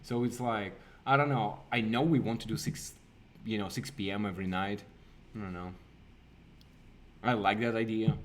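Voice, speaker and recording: Co-host type, a thirties man, lively storytelling on a webcam stream. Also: moderate pace, pauses often, slight background noise. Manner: animated, acts out quoted voices with big pitch jumps. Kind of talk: casual storytelling chat. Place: indoor room with a virtual city backdrop.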